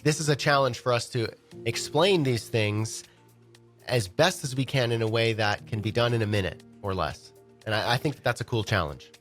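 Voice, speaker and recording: A man's voice: mid-range voice, moderate pace, fairly bright tone, faint music behind. A man with a head cold, sounding congested, co-hosting a podcast in a studio and explaining ideas in a playful, casual way. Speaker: podcast co-host. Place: recording studio.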